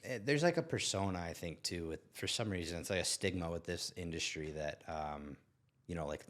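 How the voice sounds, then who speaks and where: A man with a deep, low voice and an American accent speaks as a guest in a casual podcast conversation. He is earnest and tends to tell things through anecdotes, quoting what people say. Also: brisk, fairly bright tone. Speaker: guest, lawn and landscape business owner.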